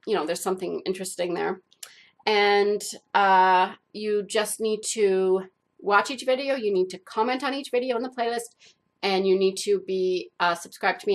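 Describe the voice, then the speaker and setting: Stuffy-nosed woman with a gravelly voice. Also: medium pitch. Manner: chatty, rambling with many fillers. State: stuffed up from a cold. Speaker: art channel host. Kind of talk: casual talk to camera.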